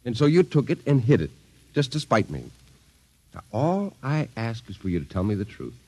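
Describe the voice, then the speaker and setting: A man's voice: mid-range voice, very animated, moderate pace, warm tone, husky, poor audio. An actor playing a stern, short-tempered army colonel and father in a 1950s radio drama, blunt, commanding and exasperated.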